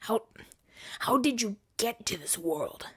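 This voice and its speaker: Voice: medium pitch, animated, slow, fairly bright tone, no echo, studio quality, heavily acted. A woman playing a flustered, self-righteous hero in a scripted ASMR audio drama, with a theatrical, stammering delivery. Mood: bewildered. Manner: stammering, indignant, dramatic delivery with breathy whispers.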